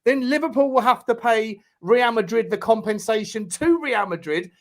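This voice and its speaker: Bloke on a football pod, high voice, keeps saying mate and right, goes on and on. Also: male voice, normal speed. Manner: animated, explains at length, says "mate" and "right". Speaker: podcast co-host. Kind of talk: casual football chat.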